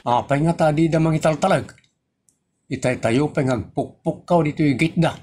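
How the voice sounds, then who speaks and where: A man's voice: a little high, moderate pace, a little mellow, lightly acted. A man with an Ilocano accent acting as a brash, shameless grown son in a comedy audio drama, cheeky and argumentative. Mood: indignant.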